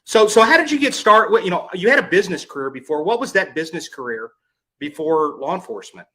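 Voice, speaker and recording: A man's voice: high voice, sing-song, fast, bright, forceful tone. A man hosting a podcast interview, reading an introduction and then chatting casually, often repeating words as he starts a thought. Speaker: podcast host.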